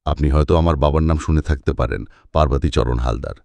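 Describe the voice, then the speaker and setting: Man as a deep-voiced, chatty, polite father. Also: fast talker, vocal fry, mellow, no room sound. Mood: eager, polite. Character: genial, slightly awkward middle-class father, about Feluda's age.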